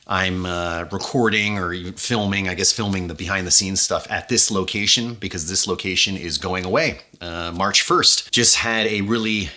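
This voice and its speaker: A man speaking casually and effusively as an audio drama director, halting as he finds his words. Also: sits low, bright, forceful tone. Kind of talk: casual, unscripted behind-the-scenes talk.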